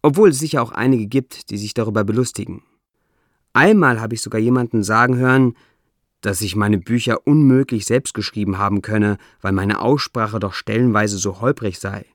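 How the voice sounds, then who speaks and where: A man with a non-native German accent that struggles with umlauts, reading his own essay in a measured, deliberate way with dry, self-ironic humor. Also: on the low side, dry recording. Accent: non-native German, trouble with umlauts.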